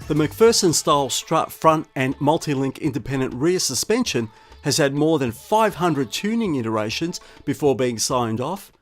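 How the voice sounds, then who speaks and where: Aussie guy doing a scripted car review voiceover, really animated, bit of music under it. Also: a little high. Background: music bed.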